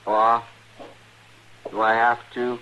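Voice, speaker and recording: A slow-witted backwoods son, sullen and menacing. The drawl is simple and rustic with a bright, tense edge, set in an old radio western with faint noise. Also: male voice, mid-range voice, completely flat delivery, dull audio. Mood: sullen, whiny. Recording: noisy old radio recording.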